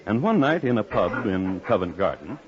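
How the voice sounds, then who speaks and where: A man's voice: lowish voice, very animated, moderate pace, a crowd in the background. A man narrating an old-time radio crime drama as a wry, formal storyteller. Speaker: narrator and host. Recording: dull lo-fi old radio recording. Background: music.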